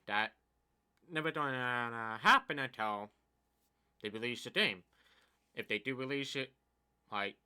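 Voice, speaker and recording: Brief: deaf man, mid-twenties, bright sharp tone, casual gripe vlog, rambling, uneven volume. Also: medium pitch. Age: 20s. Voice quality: deaf speaker's speech. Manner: complaining, rambling, uneven loudness. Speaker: gaming YouTuber.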